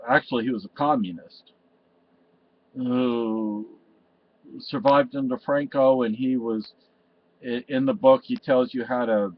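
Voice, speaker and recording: Older man, from Spain, meandering emotional monologue, home webcam, slightly dull audio. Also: mid-range voice. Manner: meandering, emotional, lots of "you know" and restarts. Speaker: vlogger. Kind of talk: rambling personal monologue to camera. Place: cluttered room at home, indoors. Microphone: webcam in front of him.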